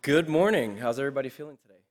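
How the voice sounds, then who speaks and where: A man's voice: mid-range voice, animated, very fast. A man from Puerto Rico giving a casual, upbeat sermon to a congregation, talking conversationally with many fillers and restarts. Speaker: guest preacher. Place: church sanctuary. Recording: long church-hall reverb.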